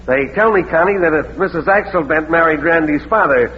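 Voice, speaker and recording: A man plays a bullying, impatient cop in a vintage radio crime drama. His voice sits a little high as he barks blunt orders and badgers. The sound is dull and faintly noisy. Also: a little fast, lightly acted. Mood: brusque. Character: gruff, bullying, impatient male police sergeant. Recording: muffled, hissy vintage radio recording.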